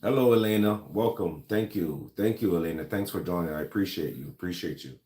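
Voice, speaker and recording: Deep-voiced Jamaican man chatting live. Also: fairly quick, warm tone, reverberant. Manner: chatty, greets viewers by name, many ums. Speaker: host of a gun-talk livestream. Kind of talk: casual livestream chat. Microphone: laptop or camera mic.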